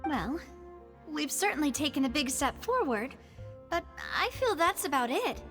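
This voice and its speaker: Poised, high-voiced young gang leader. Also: female voice, sing-song, long reverb tail. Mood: serious, resolute. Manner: polite, measured, articulate. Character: confident, courteous young female leader of an underworld group. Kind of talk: English video game voice acting. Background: music.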